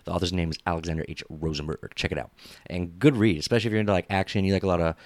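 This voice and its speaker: A man's voice: low voice, fairly even intonation, racing, a little mellow, dry recording. A man hosting a podcast, chatting casually and enthusiastically with slangy speech. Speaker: podcast host.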